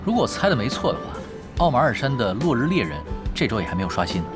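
A man playing a calm, shrewd young gamer strategist in a Mandarin audio drama, explaining schemes with casual confidence. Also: mid-range voice, rapid-fire, natural voice. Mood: confident, scheming. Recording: music bed under the dialogue.

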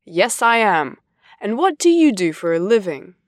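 A woman reading scripted English course dialogues in a deliberate, carefully enunciated way. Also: mid-range voice, sing-song, no room sound, studio quality. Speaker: language course voice actor.

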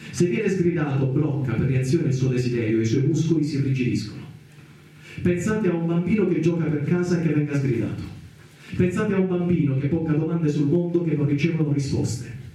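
An actor playing an earnest visionary scientist, delivering a declamatory, emphatic formal address to colleagues in radio theatre. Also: male voice, mid-range voice, warm tone, close to an everyday voice. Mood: urgent, pleading. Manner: declamatory, emphatic, deliberate lecturing. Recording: very echoey, distant from the mic.

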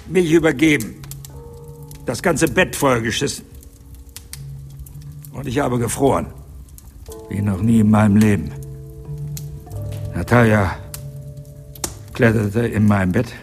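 Old guy in radio play, real slow n halting, bitter confession, music behind. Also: medium pitch. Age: older. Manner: halting, broken phrases, emotional outbursts. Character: old man bitterly confessing his wartime youth, agitated. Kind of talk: German radio drama acting.

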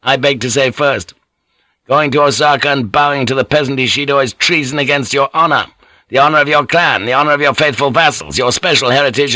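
A man's voice: medium pitch, pushed and bright, no room sound, pitched above the performer's own voice, natural voice. A man voicing a proud, defiant older samurai general in an audiobook, formal, emphatic and icy. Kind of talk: dramatic audiobook character reading.

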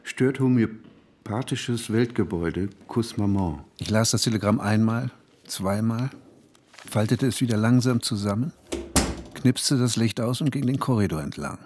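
A man's voice: on the low side, darkish tone, slight background noise, close to an everyday voice. An actor playing an anxious, introspective married man who tells his own story in a radio drama. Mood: sober, resigned. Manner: introspective, switches from measured narration to agitated pleading. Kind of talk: radio drama narration and dialogue.

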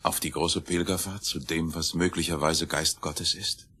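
A very deep, rough-edged voice of an earnest space explorer. He talks in a measured, thoughtful way with a scholar's reverence, in a science fiction radio drama. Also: fairly even intonation, bright, forceful tone, heavily acted. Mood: reverent. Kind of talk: radio play acting.

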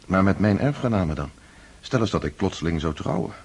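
A man's voice: low-pitched, big pitch swings, brisk, slightly rough, exaggerated voice. An actor playing a pensive, absent-minded nobleman who speaks in a musing, hesitant way. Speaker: Pierre, a nobleman. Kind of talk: radio drama acting.

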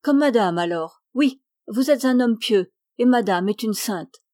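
A wary old peasant servant woman, as a woman reader puts her on, talking loudly and quickly in a rustic way. The voice sits a little higher than plain narration and is recorded close and dry. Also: lively intonation, no room sound. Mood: garrulous.

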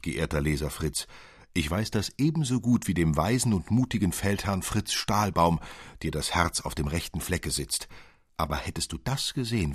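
A man narrating a literary fairy tale in an expressive, theatrical storytelling manner. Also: low voice, breathy edge, no room sound, studio quality. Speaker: audiobook narrator.

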